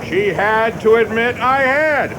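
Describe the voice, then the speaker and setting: An actor playing a confident, wisecracking official addressing reporters in a radio drama, with a wry, projecting delivery. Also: male voice, slow. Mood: self-satisfied. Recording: noisy outdoor crowd scene, wind, engine rumble.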